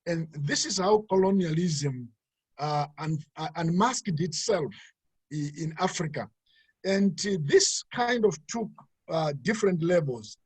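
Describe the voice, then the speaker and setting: A man's voice: on the high side, expressive, a little slow, husky, no echo. A man from Zimbabwe, a pastor, speaking in a webinar with many fillers and restarts. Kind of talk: webinar talk.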